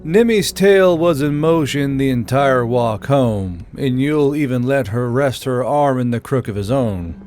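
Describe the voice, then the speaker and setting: A man's voice: mid-range voice, unhurried, few pauses. Guy narrating a fantasy audiobook, medium voice, light music behind him. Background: soft background music.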